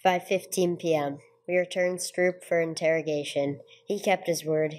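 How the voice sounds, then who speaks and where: A woman's voice: on the low side, steady tone, moderate pace, a little mellow, close to an everyday voice. A woman playing a terse, dogged police sergeant who narrates a case in a radio play, in a clipped, matter-of-fact way.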